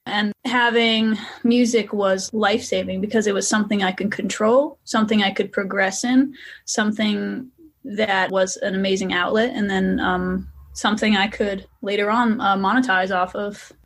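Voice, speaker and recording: A woman's voice: mid-range voice, moderate pace. Young woman guest, from western mass, easygoing and candid, lots of fillers. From Western Massachusetts. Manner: relaxed, chatty, filler-heavy, candid. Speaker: guest musician. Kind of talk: casual interview chat.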